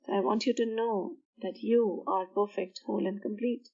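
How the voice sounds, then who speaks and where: A woman guiding a sleep meditation in a soothing, measured manner, pausing between short phrases. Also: mid-range voice, fairly even intonation, vocal fry, warm tone, dull audio. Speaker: meditation guide. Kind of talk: guided meditation for sleep, slow instruction.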